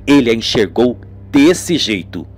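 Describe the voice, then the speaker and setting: A man's voice: mid-range voice, sing-song, unhurried, voice pushed down. A man voicing an earnest, weary police inspector in an amateur radio soap, over music. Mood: grave, intrigued. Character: weary, earnest male police inspector recounting grim cases.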